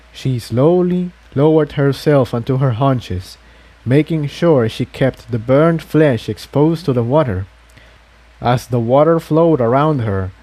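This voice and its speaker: A male narrator reads an adventure tale aloud from a script. His voice is mellow and soft-edged, at an ordinary male pitch. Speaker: narrator reading fan fiction aloud.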